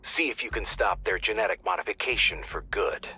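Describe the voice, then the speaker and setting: A man's voice: on the low side, a little fast, bright, forceful tone, slightly rough, heavily acted. An actor playing a wry male mission handler who talks the squad through operations in a conversational tone.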